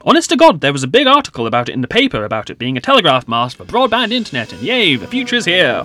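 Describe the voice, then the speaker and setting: A man's voice: a little high, huge pitch swings, fast talker, bright, forceful tone, faint music behind, full character voice. A man playing a talkative, easygoing, joking secondary-school pupil in a light comedy audio drama, rambling playfully.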